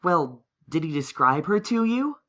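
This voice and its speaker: A studious young unicorn stallion from an audio story, played by a man, earnest and analytical. The voice is bright and sharp, with very animated, emphatic swings in pitch. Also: unhurried. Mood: probing. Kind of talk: character acting in a fan-fiction audio story.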